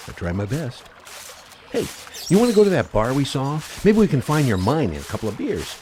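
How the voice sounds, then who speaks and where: A man's voice: mid-range voice, wildly swooping pitch, brisk, warm tone, slight background noise. A man playing a skeptical, wisecracking hunter in a radio play, teasing and sociable. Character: wisecracking, skeptical hunting buddy, adult man.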